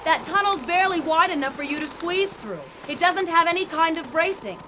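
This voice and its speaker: An actress playing an outspoken, skeptical physician at a mining colony in a radio drama, with a blunt, argumentative manner. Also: female voice, very high-pitched, lively intonation, fairly quick. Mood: exasperated. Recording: noisy ambience behind the voice.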